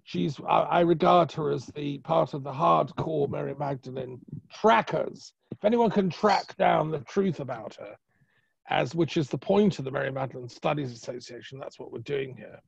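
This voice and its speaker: A man hosting an online conference and introducing a speaker informally, halting and often restarting, over muffled call audio. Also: a little high, creaky, slightly rough, no room sound.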